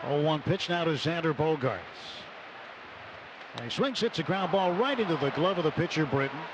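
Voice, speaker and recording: Clear ballpark noise surrounds a man's fairly high-set voice. He delivers live radio baseball play-by-play in measured phrases, with short clipped calls of the count. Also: lively intonation. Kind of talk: live radio sports commentary. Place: stadium broadcast booth. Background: ballpark ambience.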